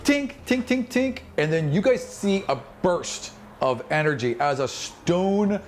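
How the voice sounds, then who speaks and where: A man improvising as game master in a tabletop game, narrating vividly in a halting, conversational way. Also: sits high, expressive, slight background noise.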